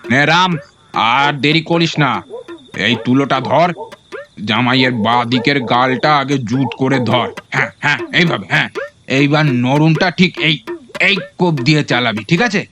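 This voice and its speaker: A man's voice: medium pitch, moderate pace, crowd noise, lightly acted. A man playing a self-important, alarmist village quack doctor in a comedy audio drama, speaking in grave, pompous pronouncements. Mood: bossy.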